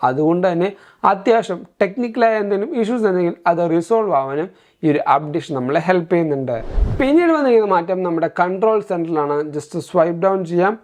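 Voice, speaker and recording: A man's voice: high-pitched, darkish tone. Man, Malayali accent, tech reviewer, explanatory step-by-step delivery, English technical terms mixed in. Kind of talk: scripted-feeling tech tutorial narration.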